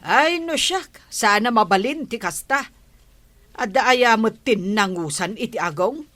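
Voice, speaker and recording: Casting: nosy village neighbour, radio comedy, Ilocano accent, very animated pitch, drawn-out slow delivery. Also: female voice. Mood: curious.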